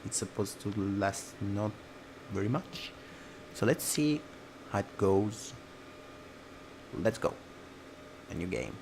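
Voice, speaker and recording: A man hosting a game playthrough, reading a visual novel aloud like a dramatic storyteller and sometimes dropping to a breathy hush. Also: fairly low pitch, expressive, takes it slowly, long pauses, a little hiss or hum, poor audio. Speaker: let's play host. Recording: music playing loudly behind the voice. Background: game music.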